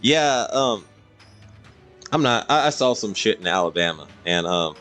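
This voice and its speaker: A man's voice: on the low side, lively intonation, a little slow, a bit bright, soft background music. A man doing casual live-stream game commentary, explaining things to viewers in a rambling way.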